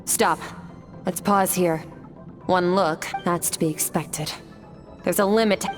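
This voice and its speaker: Game casting: cool, blunt female warrior teacher, lowish voice, music underneath. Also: fairly even intonation, takes it slowly. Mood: composed, instructive. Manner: blunt, composed. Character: cool, curt, disciplined female warrior mentor. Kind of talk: video game voice acting. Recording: music behind the voice.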